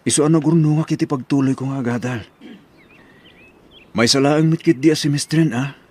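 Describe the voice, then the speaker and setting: Man as husky, devoted young sweetheart. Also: medium pitch, brisk, darkish tone, natural voice. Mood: earnest, respectful. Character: earnest, devoted young male college student sweetheart. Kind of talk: radio drama acting.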